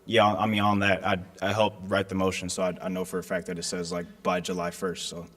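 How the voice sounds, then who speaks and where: A man from county staff answering residents' questions at a public meeting in a school hall, measured and often pausing with "um". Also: low-pitched, monotone, fairly bright tone. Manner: measured, with frequent ums. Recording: long hall reverb.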